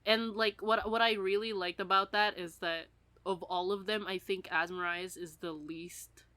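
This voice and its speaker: A woman chatting casually as a podcast co-host, rambling and giggly in an animated way. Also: mid-range voice, moderate pace, clean tone, dry recording. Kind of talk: casual chat about an audio drama.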